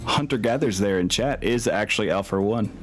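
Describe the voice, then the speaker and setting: A man's voice: fairly low pitch, brisk, slight background noise. A man speaking as a game master, casually narrating a tabletop game in informal, sweary, playful slang.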